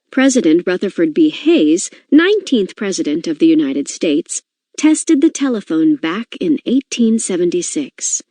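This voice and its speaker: A woman reading an educational book aloud in an expressive, clearly phrased way. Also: mid-range voice, no echo, studio quality. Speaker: reader of a children's nonfiction book. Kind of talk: educational read-aloud narration.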